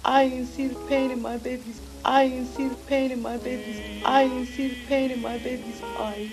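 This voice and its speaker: A woman's voice: high-pitched, flat delivery, moderate pace, music in the background, poor audio. A woman speaking informally and sorrowfully in an emotional news interview. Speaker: interviewee, bereaved mother.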